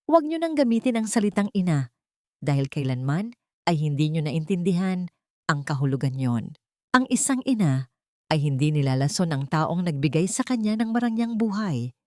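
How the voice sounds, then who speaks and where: A woman voicing a wronged, defiant daughter with a sharp, accusing manner in an audio drama. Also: on the low side, big pitch swings, normal speed, dry recording, studio quality. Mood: bitter, defiant. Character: Marian, wronged daughter, cold, defiant, accusing her stepmother.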